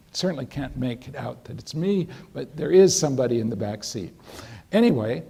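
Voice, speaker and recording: A man's voice: medium pitch, dark tone, slightly rough. An older man, a lecturer, telling a long anecdote to an audience with restarts and a dry humor. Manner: rambling storyteller with frequent restarts and dry humor. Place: lecture hall.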